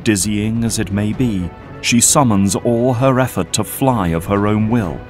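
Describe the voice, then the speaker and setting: A man's voice: fairly low pitch, unhurried, a little mellow. A man reading scripted narration for an audio drama. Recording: prominent music under narration. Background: music.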